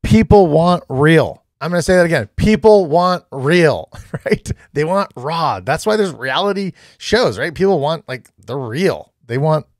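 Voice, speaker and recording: Energetic man coaching over a clip. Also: on the high side, a little slow, hardly any pauses, no room sound, studio quality. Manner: energetic, repeats himself, coaching tone. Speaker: real estate content creator reacting to a video. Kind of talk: casual reaction commentary. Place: small home studio, indoors.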